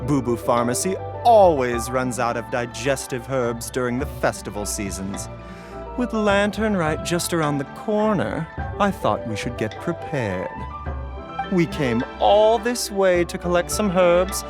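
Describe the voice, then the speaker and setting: Courteous doctor type: lilting, lively melody, unhurried, with game music. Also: male voice, medium pitch. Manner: polite, warm, lilting. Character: gentle young male doctor, courteous, soft-spoken.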